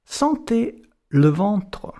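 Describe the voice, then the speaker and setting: A man leads a guided relaxation at an extremely slow pace, in short, separated phrases. His voice is fairly high, with a mellow, soft-edged tone and a gravelly creak. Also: sing-song. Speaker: yoga and meditation teacher.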